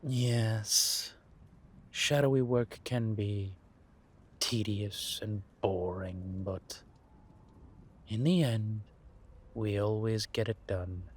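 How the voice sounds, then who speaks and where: A man playing a centuries-old vampire spymaster in a roleplay audio drama, patient and wry, speaking in measured phrases with deliberate pauses. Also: lowish voice, fairly even intonation, breathy edge, heavily acted. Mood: reflective, instructive.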